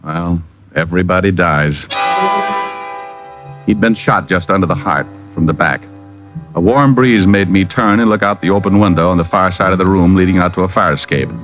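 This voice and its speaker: An American actor playing a wisecracking, world-weary private detective who also narrates a vintage radio drama, flippant and sardonic. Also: male voice, deep voice. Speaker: lead and narrator. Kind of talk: 1940s-50s radio detective acting and narration. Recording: muffled old radio recording.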